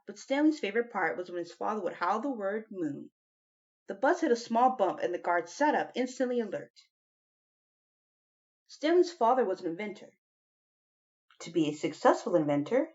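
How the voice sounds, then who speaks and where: A woman's voice: medium pitch, a little mellow, dull audio. A woman reading a children's novel aloud as an audiobook narrator. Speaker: audiobook reader.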